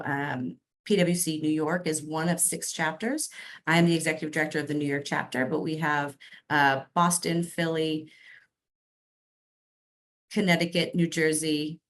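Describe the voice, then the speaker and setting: A woman from Massachusetts, an executive director interviewed as a guest, telling her career story in a chatty way with many ums. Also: sits low. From Massachusetts, USA. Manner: chatty, lots of ums, upbeat.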